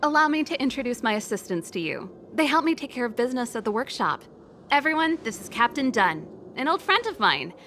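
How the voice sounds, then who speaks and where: A woman's voice: a little high, sing-song, a little fast, bright, forceful tone, slight background noise. A woman playing an easygoing, bold rock musician in a video game, speaking in a casual, familiar way. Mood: friendly, breezy.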